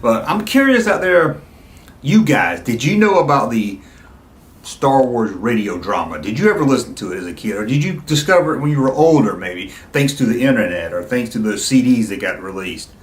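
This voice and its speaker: A middle-aged man hosts a casual video, reminiscing in an enthusiastic, rambling way. His pitch sits in the middle for a man. The sound is noticeably roomy, with audible echo around his conversational delivery. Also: lively intonation, normal speed. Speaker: YouTube video host. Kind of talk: casual nostalgic reminiscing to viewers.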